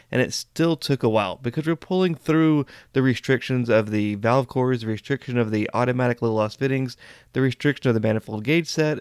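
A man narrating an HVAC lesson in a plain, step-by-step way, pausing now and then with an "uh". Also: mid-range voice, smooth, no room sound, studio quality. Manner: plain, step-by-step explaining with occasional "uh". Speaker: HVAC instructor. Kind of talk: explanatory voice-over narration.

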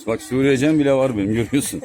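A man's voice: mid-range voice, very fast, noisy background. A man vlogging a casual walking tour through city streets, joking with his friends and talking to the viewers. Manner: joking, laughs often, talks to the viewers.

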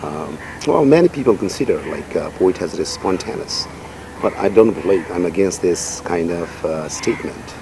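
Deep-voiced Nepali man, measured and thoughtful. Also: lively intonation. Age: middle-aged. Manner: measured, thoughtful, many fillers like "you know". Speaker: poet and writer, interview guest. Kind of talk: reflective interview answers. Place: outdoor garden. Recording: outdoor recording with noticeable background noise.

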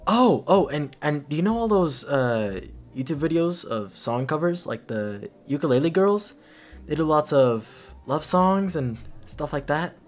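A young man playing a caring best friend at a party in an ASMR roleplay, talking casually in a halting, rambling way. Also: fairly high pitch, lively intonation. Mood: excited, rambling. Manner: halting, rambling, breathy chuckles. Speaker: roleplay audio performer.

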